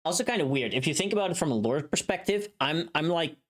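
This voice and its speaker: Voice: mid-range voice, expressive, quick pace. A streamer chatting casually on a livestream in a joking, sweary manner. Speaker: streamer making a voice pack.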